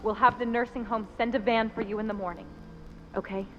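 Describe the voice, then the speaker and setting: A woman's voice: a little high, steady tone, fairly quick, long reverb tail, dull audio, soft background music, natural voice. An actress playing a strained, protective middle-aged mother, speaking tensely and firmly in dramatic video game dialogue. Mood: angry, firm. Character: strained, protective mother at odds with her grandmother.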